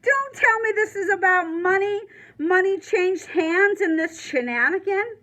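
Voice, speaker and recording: A stern, indignant prison warden from a children's novel, as a woman reader puts him on. The voice is high, cold and commanding. He scolds emphatically, biting off his orders. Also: animated, measured pace, fairly bright tone, full character voice. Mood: angry, barking.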